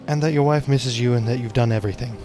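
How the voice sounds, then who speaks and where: A man voicing a gentle, supportive young wife who speaks softly and reassuringly in a dramatic story reading. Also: medium pitch, fast talker, music in the background, pitched above the performer's own voice, natural voice. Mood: consoling. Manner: soft, reassuring.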